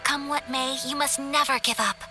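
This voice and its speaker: An actress voicing a gentle, graceful young noblewoman in a game cutscene, speaking softly, formally and sincerely. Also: sits high, fairly even intonation, moderate pace, close to an everyday voice. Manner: soft-spoken, formal and sincere. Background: music.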